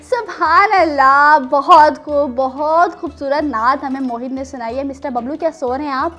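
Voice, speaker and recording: Children's show host, female, Pakistani accent, high pitch, encouraging teacher-like delivery, music behind. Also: normal speed. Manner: encouraging, teacherly, with question prompts. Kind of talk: presenting a children's show, addressing young guests and viewers.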